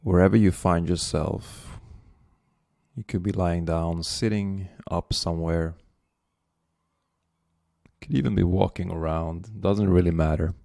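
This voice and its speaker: Male meditation guide, gentle and very slow, long pauses, close dry sound. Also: low-pitched, steady tone, vocal fry, no echo.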